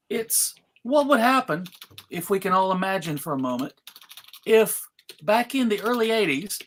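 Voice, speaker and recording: Casual event host and narrator, very animated pitch, halting mock-grand announcements. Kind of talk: casual live event hosting.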